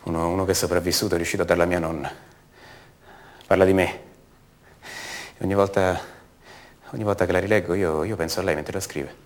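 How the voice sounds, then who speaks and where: An actor playing the brooding adult son of a disappeared woman, speaking haltingly and intimately in a radio theatre monologue. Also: deep voice, fairly even intonation, lightly acted. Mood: tender longing. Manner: halting, repeats words, intimate.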